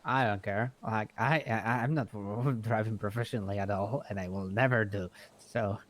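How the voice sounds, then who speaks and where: A man's voice: on the low side, normal speed, natural voice. A man playing a blunt, foul-mouthed male mechanic and shop owner, talking in a rambling, emphatic way in improvised game roleplay. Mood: blunt, dismissive. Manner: rambling, emphatic, swears freely.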